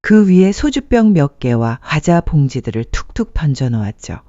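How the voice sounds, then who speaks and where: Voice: mid-range voice, very animated, moderate pace, dark tone, no room sound. A narrator reading a scripted dramatic story as a storyteller. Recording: muffled dry studio sound.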